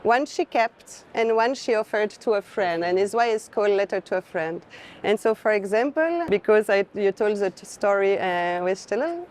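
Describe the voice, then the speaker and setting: A woman's voice: medium pitch, expressive, normal speed, a little mellow. A woman curator who is not a native English speaker, talking casually about art and often searching for words. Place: art fair, on the move. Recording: noisy busy fair ambience.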